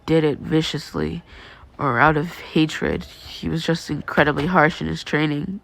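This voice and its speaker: Woman reading a monotone, guarded teenage boy. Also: deep voice, measured pace, creaky, slight background noise, exaggerated voice, low-quality recording. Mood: flat, resigned. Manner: monotone, matter-of-fact, subdued.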